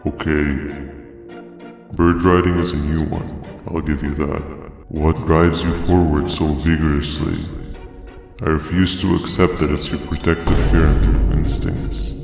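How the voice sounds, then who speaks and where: A super deep calm jellyfish monster from a video game, flat menacing speeches, big echo. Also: male voice, vocal fry, exaggerated voice. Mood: calm menace. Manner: wordy, deliberate, theatrical monologuing. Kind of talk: video game villain monologue. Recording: echoey long reverb, music behind.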